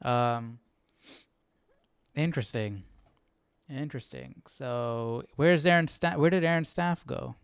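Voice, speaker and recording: A man's voice: mid-range voice, slow, creaky, pauses often, clean tone, no room sound, clean studio sound. A man hosting a live Bible study, thinking aloud in a casual, questioning, conversational way.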